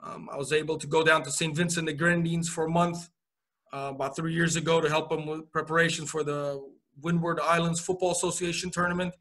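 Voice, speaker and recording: A man in his forties, a college soccer coach from Romania, telling his career story on a video call with relaxed pacing and frequent fillers. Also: a little high, fast talker. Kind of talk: video call interview answers. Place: indoor office.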